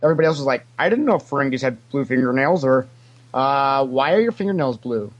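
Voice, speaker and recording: Man, podcast guest, chatty storyteller, mid-pitched voice, casual anecdotes, slips into quoted remarks. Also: lively intonation, fast, lo-fi sound. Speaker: podcast guest, former theme park character actor. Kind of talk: casual anecdotal interview answers.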